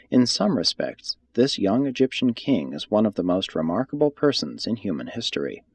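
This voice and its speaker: A man reading a religious text aloud as scripted narration, in a measured, formal manner. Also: fairly low pitch, slightly rough, no room sound. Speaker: audiobook reader.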